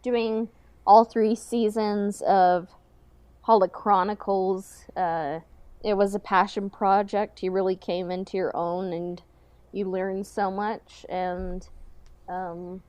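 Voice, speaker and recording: A woman's voice: mid-range voice, level tone, slow, dark tone, smooth. A woman co-hosting a podcast, chatting casually in hesitant, trailing phrases. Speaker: podcast co-host. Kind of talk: casual chat.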